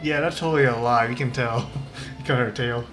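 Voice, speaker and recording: A woman with a very deep voice comments casually on a game as she streams. Her reactions are halting and offhand, with music clearly audible behind her. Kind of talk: casual live gameplay commentary.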